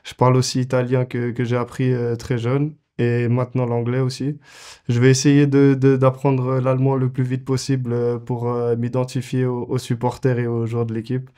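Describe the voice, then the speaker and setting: Hesitant young man, dark flat voice. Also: medium pitch, moderate pace, smooth. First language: French. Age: 20s. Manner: hesitant, many fillers and restarts. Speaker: football goalkeeper, interviewee. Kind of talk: casual interview answers.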